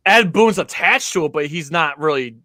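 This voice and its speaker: A man's voice: fairly high pitch, expressive, moderate pace, bright, forceful tone, no echo, clean studio sound. A man on a podcast panel, explaining and giving opinions in casual fan discussion.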